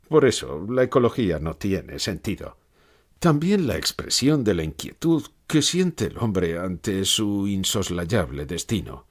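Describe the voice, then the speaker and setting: A man's voice: lowish voice, breathy edge, full character voice. A man playing a gloomy old philosopher who gives grave, pessimistic answers in a scripted interview.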